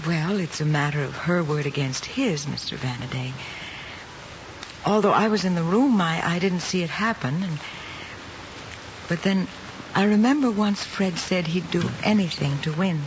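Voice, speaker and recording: A woman plays a composed, probing schoolteacher in a mid-century screen drama. She speaks in a measured, tactful manner. The soundtrack is muffled with clear noise behind her. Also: on the low side, close to an everyday voice. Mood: concerned, tactful. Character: composed, probing female schoolteacher, concerned and fair. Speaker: teacher. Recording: muffled, noisy old film soundtrack.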